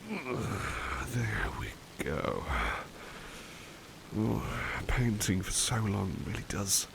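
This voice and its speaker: A man playing a devoted, polite butler who paints, chatting softly and hesitantly to the listener in an ASMR roleplay. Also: fairly low pitch, animated, very slow, gravelly, noisy background, exaggerated voice. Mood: relaxed. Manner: hesitant, courteous, old-fashioned wording, gentle. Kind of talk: ASMR roleplay, soft intimate chat to listener.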